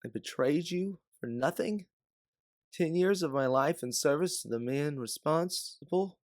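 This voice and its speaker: A man's voice: on the high side, animated, measured pace, dry recording, clean studio sound, voice pushed up. A man playing an emotional bounty hunter in their late twenties in an audio drama, with an intense, pleading manner. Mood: stricken. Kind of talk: dramatic audio fiction acting.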